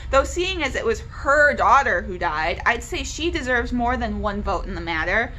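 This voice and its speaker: Bright-voiced, combative storybook mom read by a woman. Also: a little high, sing-song, normal speed, a little hiss or hum, low-quality recording. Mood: indignant. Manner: argumentative, insistent. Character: worried, combative, stubborn mom, adult woman. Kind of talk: read-aloud novel dialogue.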